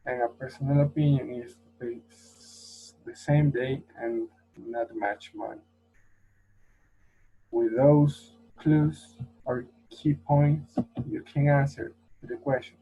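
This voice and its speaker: A man explaining speaking exam tasks in a halting way with fillers. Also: medium pitch, breathy edge. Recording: dull lo-fi recording.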